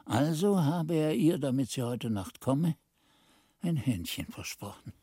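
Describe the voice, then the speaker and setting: Earnest monastery narrator, lively intonation, soft husky tone, dry sound, radio play storytelling. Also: male voice, fairly high pitch, a little slow, no echo, exaggerated voice. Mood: earnest, recounting. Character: monk's companion recounting events as earnest narrator. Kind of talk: scripted radio drama narration.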